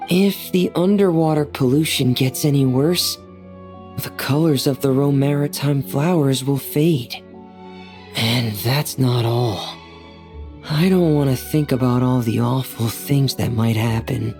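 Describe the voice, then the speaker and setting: An actor voicing a gentle, earnest young boy diver in a video game, polite, subdued and a little hesitant. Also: medium pitch, measured pace, natural voice. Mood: worried. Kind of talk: video game character dialogue. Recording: faint music behind the voice.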